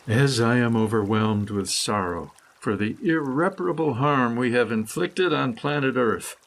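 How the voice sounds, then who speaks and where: A man reading his own scripted meditative prose poem in a solemn, deliberate manner with dramatic phrasing. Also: medium pitch, fairly bright tone. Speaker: author reading own essay.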